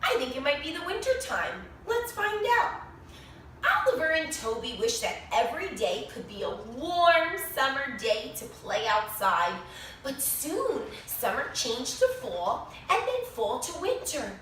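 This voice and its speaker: High, echoey woman reading to young children. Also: a little slow. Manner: expressive, sing-song, explains words and asks questions. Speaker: children's author reading own picture book. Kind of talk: animated read-aloud story for young children. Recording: very echoey room, distant mic, faint noise.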